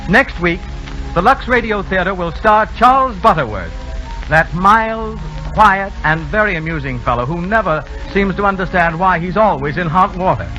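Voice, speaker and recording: A man's voice: high voice, lively intonation, moderate pace, sharp tone, dull audio, soft background music. A man hosting a 1930s radio theater broadcast in a scripted announcer's delivery.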